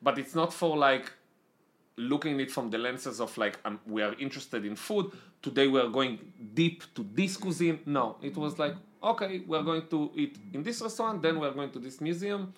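A man's voice: a little high, lively intonation, moderate pace. A young man with an Israeli accent, talking casually as an interview guest, hesitant and full of "like" fillers, with slightly non-native grammar. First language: Italian, then Hebrew. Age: young adult. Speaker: podcast guest.

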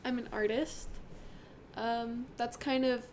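A woman's voice: on the high side, flat delivery, slow pace, a little mellow, a little hiss or hum. A nineteen-year-old woman chatting casually in a playful, giggly, teasing way, as co-host bantering with her husband. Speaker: co-host introducing herself.

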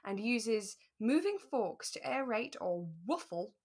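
A woman's voice: fairly high pitch, big pitch swings, slow pace, a little mellow. A woman co-hosting a podcast, chatting casually and playfully about a radio drama. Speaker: podcast co-host.

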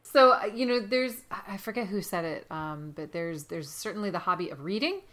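Bright-voiced woman chatting as podcast guest. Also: mid-range voice, animated, brisk. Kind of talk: casual conversation about books.

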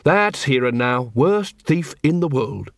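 A man voicing an earnest, polite young male constable in a storybook, calm and guileless. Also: mid-range voice, dry recording, clean studio sound. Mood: mildly regretful. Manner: polite, mild, unhurried.

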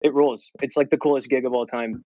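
A man's voice: medium pitch, steady tone, fast talker, no echo, lo-fi sound. A young man from Ohio, a comedian guest telling stories in casual podcast chat with lots of fillers and swearing. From Ohio, USA. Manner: rambling, many fillers, swearing.

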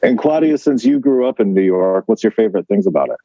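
A man's voice: on the low side, animated, fast talker, warm tone, no room sound, highs cut off. An American man hosting and interviewing in a casual, conversational style. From Portland, Oregon, US. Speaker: podcast host and interviewer.

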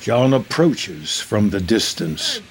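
A man's voice: lowish voice, sing-song, slow, crowd noise, low-quality recording. A man with an American accent reading scripted screenplay narration in the present tense.

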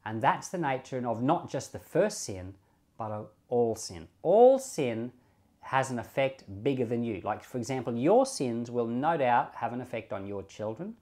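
Male Bible podcast teacher, medium pitch, informal and explanatory, reasons aloud and repeats key points with emphasis. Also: sing-song, normal speed, a little mellow. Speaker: pastor and Bible podcast host.

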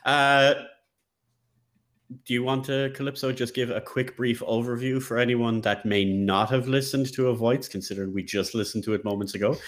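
Wry man hosting, mid-pitched voice. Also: fairly even intonation, moderate pace. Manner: playful, wry. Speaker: podcast host. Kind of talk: casual banter.

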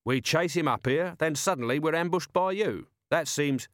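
A man's voice: a little high, moderate pace, fairly bright tone, no echo, voice pushed up, close to an everyday voice. A man voicing a weary, sardonic middle-aged male commander with dry, sarcastic remarks.

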